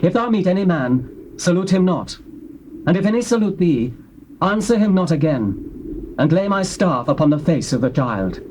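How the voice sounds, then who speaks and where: An actor playing the prophet Elisha, speaking solemnly and with command in a dramatized scripture recording with faint tape hiss. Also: male voice, high-pitched, very animated, normal speed, a little mellow, low-quality recording.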